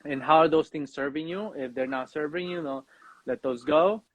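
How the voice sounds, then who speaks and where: A man talking as a podcast guest in a reflective, earnest, halting way, often checking in with "you know" and "right?". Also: on the high side, dry recording, low-quality recording. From Mexican background. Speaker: podcast guest, fatherhood coach. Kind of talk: reflective personal conversation.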